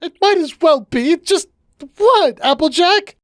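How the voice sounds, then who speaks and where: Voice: sing-song, a little slow, gravelly, darkish tone, full character voice. A performer voicing a distraught female pony, strained, pleading and tearfully dramatic. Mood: despairing. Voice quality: shrill, strained.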